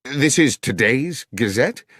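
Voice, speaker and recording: A man's voice: mid-range voice, animated, takes it slowly, a bit bright, husky, dry recording, clean studio sound, pitched above the performer's own voice, exaggerated voice. A man voicing a courteous, cautious, perplexed doctor in an audiobook, formal and earnest in manner. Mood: baffled, wary. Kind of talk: dramatised audiobook reading.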